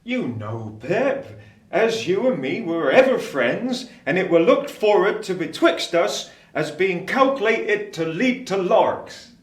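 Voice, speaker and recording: A bashful rustic blacksmith from a radio drama, rambling and humble. The voice is high and swoops about in an echoey room. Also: male voice, big pitch swings, slow pace, heavily acted. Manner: rambling, roundabout, rustic speech. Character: humble, bashful country blacksmith, good-natured grown man. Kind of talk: radio play acting of Victorian drama.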